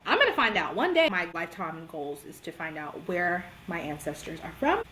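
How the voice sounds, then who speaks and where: A young woman from Georgia co-hosts a casual podcast chat, talking in a slangy way and laughing often. Her voice is bright and fairly high, and it swings widely in pitch. Faint ambient noise sits behind her. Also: sing-song, brisk, poor audio. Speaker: podcast co-host.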